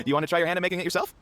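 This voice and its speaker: A man's voice: high-pitched, lightly acted. A man voicing a jovial, generous food stall cook in a video game, breezy and friendly.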